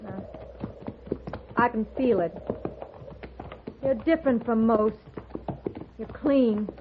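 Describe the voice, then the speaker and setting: A woman's voice: on the high side, slow pace. A woman playing an earnest, frightened frontier woman with plain rustic speech in an old-time radio Western. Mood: scared, earnest. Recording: noisy, narrow-band vintage radio recording.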